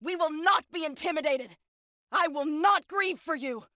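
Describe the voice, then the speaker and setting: Intense, bright-voiced young warrior woman. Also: sits high, moderate pace, no echo, studio quality. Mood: grim, determined. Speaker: video game voice actor. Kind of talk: scripted game combat barks and companion lines.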